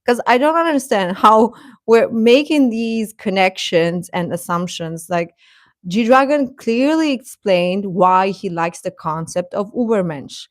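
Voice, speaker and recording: A woman hosting a casual, opinionated live chat, speaking haltingly and defensively with repeated words. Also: mid-range voice, dry recording, clean studio sound. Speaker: livestream host and commentator.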